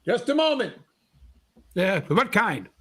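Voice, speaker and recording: A man's voice: high voice, sing-song, slow pace, a bit bright, slight background noise, exaggerated voice. A man playing a pushy second-hand shopkeeper, haggling and wheedling in a vintage-style radio drama. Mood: salesman-like. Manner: haggling, salesman-like.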